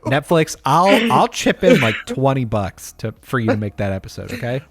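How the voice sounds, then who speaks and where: A man's voice: mid-range voice, sing-song, normal speed, dry recording. A man co-hosting a podcast in a laid-back, joking way. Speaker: podcast co-host. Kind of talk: casual chat.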